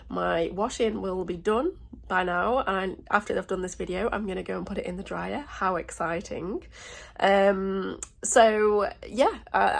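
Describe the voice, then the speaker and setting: A woman travel vlogger chatting casually and in a rambling way, sounding croaky and stuffed up with a sore throat. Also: mid-range voice. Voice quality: slightly croaky, congested. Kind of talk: casual vlog chat.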